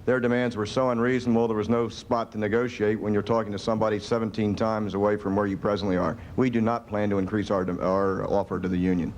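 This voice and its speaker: Firm, low-pitched American official. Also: male voice, level tone, quick pace, hardly any pauses, a little mellow. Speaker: government official answering reporters. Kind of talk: press conference answers. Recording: faint rumbly background noise.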